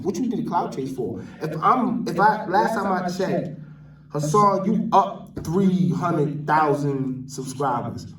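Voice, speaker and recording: Echoey, higher-pitched New York man ranting. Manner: street slang, repeats phrases for emphasis. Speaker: vlogger. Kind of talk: off-the-cuff opinion rant. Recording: roomy echo, distant from mic.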